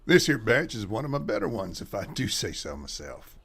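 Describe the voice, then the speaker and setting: Guy doing a gruff southern backwoods dad in a radio play, low creaky voice, few words, folksy. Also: big pitch swings, brisk, fairly bright tone, exaggerated voice. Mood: matter-of-fact. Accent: rural Southern US. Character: backwoods moonshiner father, gruff and plain-spoken. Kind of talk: radio drama acting.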